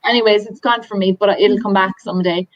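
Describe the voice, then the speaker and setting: A woman's voice: medium pitch, steady tone, a little fast, no echo, dull audio. An Irish woman from a tour company, chatting enthusiastically through a slide presentation with lots of ums and restarts. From Ireland. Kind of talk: presenting slides on a video call.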